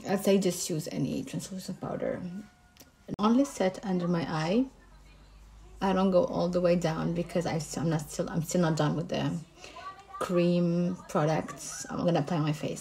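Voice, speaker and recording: A woman's voice: on the low side, vocal fry, a little mellow, rough recording. A woman with a Moroccan accent, a makeup artist casually explaining each step of her makeup routine.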